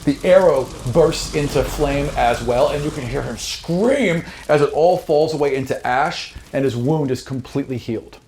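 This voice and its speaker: A man's voice: medium pitch, moderate pace, few pauses, darkish tone. A man narrating a tabletop game as game master, switching between vivid storytelling and casual rulings. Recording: roomy echo, faint background noise.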